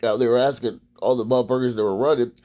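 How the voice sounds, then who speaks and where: A man's voice: mid-range voice, fairly even intonation, fast talker, darkish tone. A man from Maryland, USA, delivering a profane, rambling casual monologue as a vlogger.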